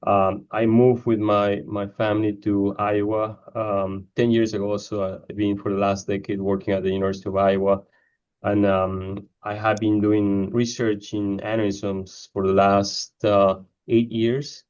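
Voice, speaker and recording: A man from Ecuador speaks in a low, flat voice, pausing often with ums and uhs as he explains his work. The sound is dull and lo-fi, like a video call. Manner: hesitant, many fillers. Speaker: neurosurgeon researcher. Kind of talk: interview answers.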